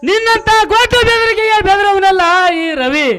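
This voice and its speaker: A man's voice: extremely high pitch, moderate pace. A man playing a proud, defiant poor young man and protective brother, declaiming emphatically in a melodramatic stage drama. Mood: defiant, angry. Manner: declamatory, emphatic, repeating phrases for effect. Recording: stage recording drowned in loud music.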